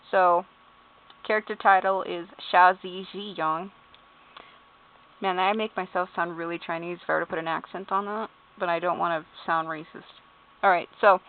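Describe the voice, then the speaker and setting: Chatty woman with a tinny sound. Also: mid-range voice. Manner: amused, chatty, spells out names letter by letter, laughs and swears. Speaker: YouTuber. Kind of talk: casual commentary reading game character bios. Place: at home.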